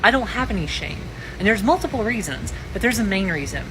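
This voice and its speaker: Female anecdote-teller, casual and deadpan, medium pitch, music underneath. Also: a little fast, rough recording. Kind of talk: casual first-person anecdote. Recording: music behind the voice.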